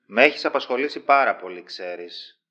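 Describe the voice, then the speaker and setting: A man's voice: medium pitch, lively intonation, lo-fi sound, over loud music, natural voice. A man playing an insistent, effusive magazine director in an audio drama, with an insinuating way of talking. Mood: eager, persuasive. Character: smooth, pushy male magazine director, insistent.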